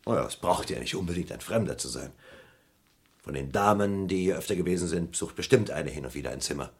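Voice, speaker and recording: Sly, husky-voiced police inspector. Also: male voice, low voice, lively intonation, fast. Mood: probing, needling. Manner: feigned politeness, probing questions, theatrical emphasis. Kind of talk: vintage German crime radio play acting.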